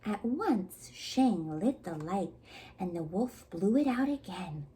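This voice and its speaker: A woman reading a children's story aloud expressively, with measured dramatic pauses. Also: medium pitch, expressive, warm tone, rough recording. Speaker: storybook reader at a community library.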